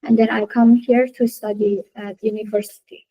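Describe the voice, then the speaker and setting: A woman's voice: mid-range voice, little pitch movement, normal speed, husky, highs cut off. A young woman, a university student, presenting her art portfolio to her class in a careful, hesitant way with many fillers. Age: young adult.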